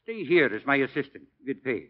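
An actor playing a demanding, brusque priest and science professor in a 1940s radio drama. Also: male voice, mid-range voice, big pitch swings, a little fast. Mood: persuasive. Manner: emphatic, impatient, gruffly mocking. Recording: dull 1940 radio recording.

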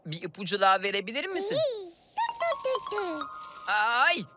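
A woman's voice: medium pitch, very animated, unhurried. A woman voicing a helpful, fretful magical creature in a children's cartoon, explaining things with frequent exclamations. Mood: worried. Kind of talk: animated children's cartoon dubbing. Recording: music behind the voice.

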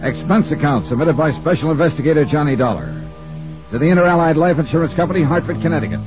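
An American actor playing a wry, self-assured insurance investigator in a 1950s radio drama. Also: male voice, on the high side, lightly acted. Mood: wry, confident. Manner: wry, brisk, crisply emphatic. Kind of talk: 1950s radio drama dialogue and first-person narration. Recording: muffled old radio recording, faint music.

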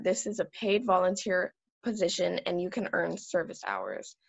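A woman's voice: fairly low pitch, vocal fry, breathy edge, lo-fi sound. A young woman reading school morning announcements from a script. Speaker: student announcer.

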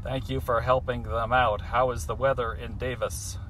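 A man's voice: mid-range voice, flat delivery, moderate pace, a bit bright. Man on a noisy radio-style call. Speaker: caller testing a satellite phone. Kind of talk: radio-style phone exchange saying over. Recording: noisy microphone near external speaker, vehicle-like hum.

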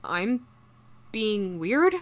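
A woman voicing an obsessive shapeshifting doppelganger posing as someone's ex, speaking haltingly in an intimate horror audio roleplay. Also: medium pitch, very animated. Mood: nervous, ingratiating. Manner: halting, stammering, soft-spoken in menace.

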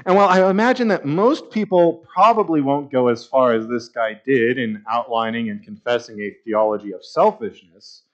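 A man in his thirties preaching a sermon at a church pulpit, conversational and wry with sarcastic asides. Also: mid-range voice. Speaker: pastor. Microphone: pulpit mic, far camera. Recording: slightly dull church livestream audio.

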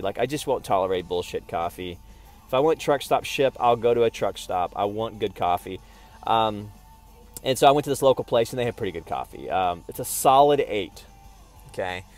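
A man vlogging in a casual, rambling monologue, digressing often and talking in slangy, profane everyday speech. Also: lowish voice.

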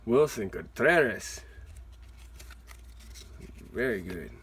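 A man hosting a card-opening video with excited, playful casual commentary, given to exclamations. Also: lowish voice, slow pace, slight background noise. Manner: exuberant, exclaiming and whooping, playful. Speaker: host of a trading card opening channel. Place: indoors, at a table with cards.

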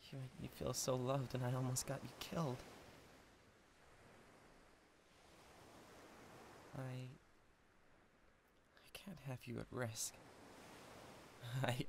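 A man's voice: mid-range voice, creaky, background noise. A man voicing a lovestruck, awkward devil king of Hell in an intimate ASMR roleplay, hesitant and stammering. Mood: gentle, anxious. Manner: hesitant, stammering, swinging from tender to giddy. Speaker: audio roleplay voice actor.

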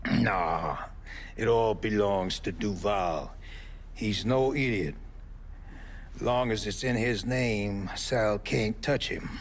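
Gravelly old American mobster. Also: male voice, fairly low pitch, takes it slowly. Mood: explaining, matter-of-fact. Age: older. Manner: blunt, folksy, streetwise. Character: weary, foul-mouthed old mob man. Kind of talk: video game cutscene dialogue.

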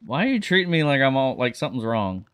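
A man's voice: mid-range voice, sing-song, fast, fairly bright tone, clear, resonant tone. A man playing an indignant, baffled police officer in a roleplay game, protesting defensively. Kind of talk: improvised online roleplay game.

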